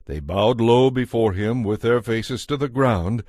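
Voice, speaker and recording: A man's voice: medium pitch, unhurried, a little mellow, no room sound, clean studio sound. A man reading scripted narration as the narrator of a dramatized audio Bible.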